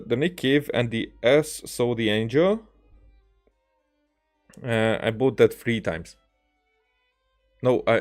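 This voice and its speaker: Halting man streaming casually over music. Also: lowish voice. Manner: halting, rambling, repeats words as he tells anecdotes. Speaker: game streamer. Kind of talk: casual livestream chat while gaming.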